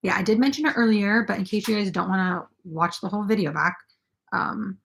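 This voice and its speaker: A woman's voice: medium pitch, brisk, creaky, a little hiss or hum. A woman hosting a crochet live stream from her bedroom, chatting casually and rambling with fillers into a camera on a tripod. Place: bedroom at home.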